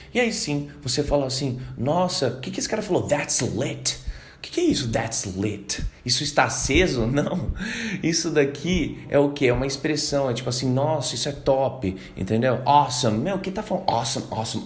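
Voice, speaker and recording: A man with a Brazilian Portuguese accent giving a casual video lesson on learning English, lively, acting out learners' reactions and reading English lines slowly. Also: mid-range voice, a little hiss or hum. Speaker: English teacher.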